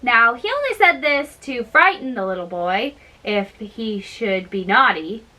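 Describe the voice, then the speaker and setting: Female storyteller, higher-pitched and very bright, halting read-aloud of fairytales. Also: big pitch swings.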